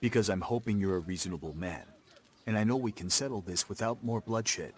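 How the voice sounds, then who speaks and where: A man's voice: fairly low pitch, a little fast, natural voice. An actor playing a principled, defiant young American frontier man in a game cutscene, firm and earnest. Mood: formal, firm. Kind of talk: video game cutscene acting.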